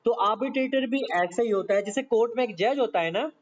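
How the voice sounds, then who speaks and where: High-pitched Pakistani man giving a law lecture. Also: fairly quick. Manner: explains step by step with repetition and frequent check-in tags. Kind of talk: conversational exam-prep lecture, explaining legal text. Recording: dull, lo-fi lecture audio.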